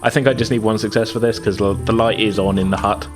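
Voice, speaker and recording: Low-voiced man running a tabletop game. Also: steady tone, fast talker, over music. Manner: hedging, meandering delivery. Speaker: game master. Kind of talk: casual tabletop game narration.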